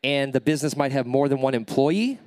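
A man's voice: medium pitch, a little fast, dry recording, studio quality. A man with a nasal voice giving a live workshop talk, coaching the audience and checking in with them as he answers questions. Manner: energetic, coaching, checks in with "okay?" and "right?". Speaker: workshop speaker. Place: event stage.

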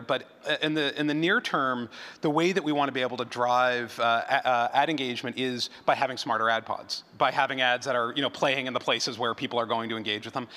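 A man's voice: mid-range voice, sharp tone. A man answering questions as a panel speaker at a conference, often restarting and repeating his words. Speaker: panel speaker from an audio platform. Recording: hall reverb.

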